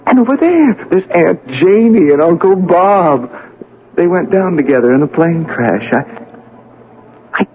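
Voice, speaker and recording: Shaken young american scientist, theatrical and intense, highish voice, narrow old radio sound with some hiss. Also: male voice, expressive, a little slow. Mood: bewildered. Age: young adult. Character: proud young male scientist, bewildered and shaken by the afterlife. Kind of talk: radio drama acting. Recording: noisy, band-limited old radio broadcast.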